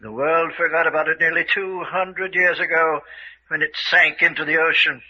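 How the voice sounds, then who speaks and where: A man's voice: on the high side, fairly even intonation, normal speed, natural voice. A man acting an older, genteel villain who rules a castle, smug and coolly menacing, taunting with dry chuckles in an old-time radio mystery style. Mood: matter-of-fact. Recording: dull, lo-fi radio drama recording.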